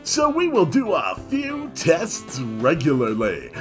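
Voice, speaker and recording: A man voicing a jovial, grinning, chummy male head nurse with emphatic, drawn-out delivery in a visual novel reading. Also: fairly high pitch, wildly swooping pitch, a bit bright, soft background music, pitched above the performer's own voice, heavily acted. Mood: brisk, advisory.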